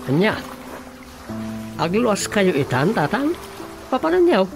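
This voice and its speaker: A man's voice: high voice, wildly swooping pitch, takes it slowly, vocal fry, loud music behind the voice, heavily acted. A man playing a worried grown child questioning an elderly father in an Ilocano radio comedy-drama, with exclamatory delivery. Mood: anxious curiosity.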